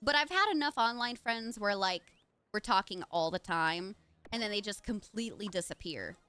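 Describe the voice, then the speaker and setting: A woman voicing Nora, a calm, modest young woman artist, with a soft, level, reassuring delivery. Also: mid-range voice, normal speed, smooth, no echo, voice pushed down.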